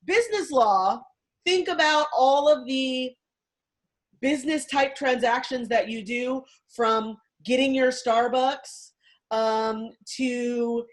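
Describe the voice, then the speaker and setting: A woman from California, a law professor, chatting on a video call in a lively, quick way. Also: high voice. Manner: lively, quick, laughing asides. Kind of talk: casual talk on a video call.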